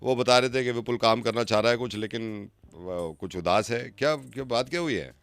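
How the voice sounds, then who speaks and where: A man's voice: mid-range voice, brisk, gravelly, fairly bright tone, smooth, dry recording. A man in a casual phone chat, talking like a buddy, insistent and repeating his phrases to egg the listener on. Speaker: prank caller, radio show host.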